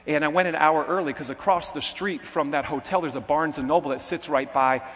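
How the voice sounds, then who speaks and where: A man's voice: a little high, fairly even intonation, a little fast, a bit bright. A man preaching a sermon, putting rhetorical questions to his listeners and often checking in with "okay?". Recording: long hall reverb.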